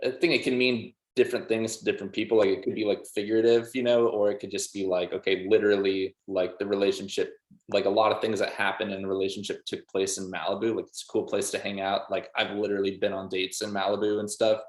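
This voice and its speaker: A man's voice: on the low side, flat delivery, fast. A man in a band chatting casually in an interview, emphatic, saying "like" and "literally" constantly. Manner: emphatic, heavy use of "like" and "literally". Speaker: band musician being interviewed. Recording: roomy, echoey sound.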